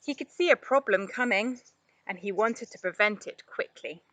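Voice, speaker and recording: Woman reading a kids story out loud, expressive, bright voice, close dry sound. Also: mid-range voice, normal speed, no room sound. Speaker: storybook reader, play therapist. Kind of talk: expressive scripted children's story narration.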